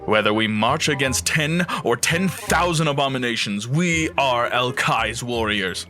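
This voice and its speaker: A man's voice: medium pitch, expressive, measured pace, bright, forceful tone, faint music behind. A man playing a proud, resolute warrior in an audio drama. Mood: defiant, resolute.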